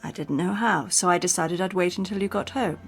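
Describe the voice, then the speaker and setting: A woman's voice: fairly low pitch, a little fast, vocal fry, slightly rough, faint music behind. A woman playing a long-suffering, politely guarded society wife in a radio drama, with an arch, understated manner. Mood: resigned. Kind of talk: classic radio drama acting.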